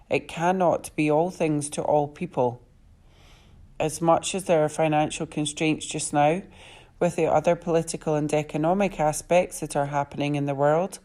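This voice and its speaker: A woman reads scripted newspaper copy aloud. Her voice sits low, with a mellow, relaxed tone. She delivers the text as a reader for a recorded news service. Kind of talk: scripted newspaper article reading.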